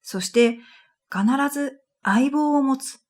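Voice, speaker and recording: Lady acting a pensive dream reader telling her story, slow n breathy, quiet radio drama narration w/ soft music. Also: sits high. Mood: pensive, calm. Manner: quiet, measured, pensive, trailing into breathy phrase ends. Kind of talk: scripted first-person radio drama narration.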